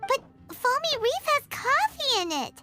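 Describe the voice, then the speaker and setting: Squeaky, very high childlike fairy. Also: female voice, big pitch swings, measured pace, bright, forceful tone, heavily acted. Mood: worried, curious. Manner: refers to self in third person, chirpy. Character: tiny excitable floating fairy companion, childlike girl. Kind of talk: video game voice acting. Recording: music behind the voice. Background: background music.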